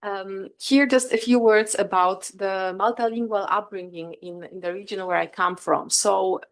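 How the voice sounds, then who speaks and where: A woman's voice: mid-range voice, fairly even intonation, moderate pace. Polish woman giving an academic talk. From Northeast Poland. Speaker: academic researcher, guest speaker. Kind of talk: academic presentation with slides.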